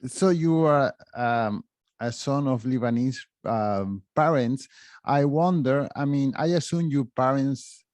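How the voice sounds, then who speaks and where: A man hosting a podcast, introducing his guest and asking questions with animated emphasis. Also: medium pitch, slow pace, dry recording. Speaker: podcast host. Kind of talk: podcast interview.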